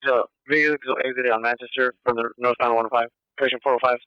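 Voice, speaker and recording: Fast, flat-toned man on police radio. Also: mid-range voice, smooth, no room sound. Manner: clipped, procedural. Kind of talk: relaying radio callouts. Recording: muffled police scanner radio.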